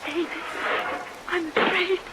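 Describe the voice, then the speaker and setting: A woman's voice: extremely high pitch, steady tone, takes it slowly. A woman playing an anxious, pleading young daughter of a scientist in a vintage radio play, with a breathless, melodramatic delivery. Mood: terrified. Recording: noisy vintage radio recording under rain.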